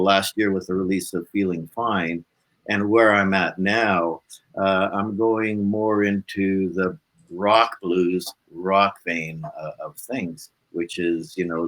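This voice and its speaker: A man's voice: sits low, a bit bright, rough recording. A man, chatting informally as an interview guest and rambling through his stories. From Canada. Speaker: guest, blues musician. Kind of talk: casual interview chat.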